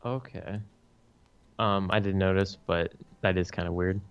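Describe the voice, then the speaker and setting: A slightly muffled, lo-fi recording of a man with a fairly low, very smooth voice. He speaks as a podcast co-host in casual review chat. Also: a little slow.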